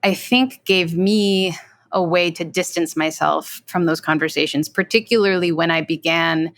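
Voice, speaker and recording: A woman giving measured, reflective commentary, choosing her words deliberately and with care. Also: fairly low pitch, fairly even intonation, a bit bright, dry recording. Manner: deliberate, carefully worded.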